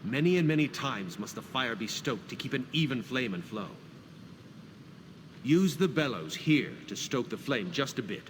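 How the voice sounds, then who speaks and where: Actor as a hearty warrior smith, lively swooping delivery. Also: male voice, mid-range voice, normal speed, close to an everyday voice. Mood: solemn, welcoming. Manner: formal, exhorting, emphatic. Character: hearty devout warrior smith, encouraging forge master. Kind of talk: video game character dialogue. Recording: clear background noise of a game scene.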